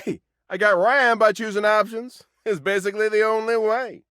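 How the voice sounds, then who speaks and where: A man's voice: sits high, fairly even intonation, moderate pace, fairly bright tone, smooth, no room sound, studio quality. A man chatting casually while playing a video game. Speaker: game player. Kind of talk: casual gameplay chat.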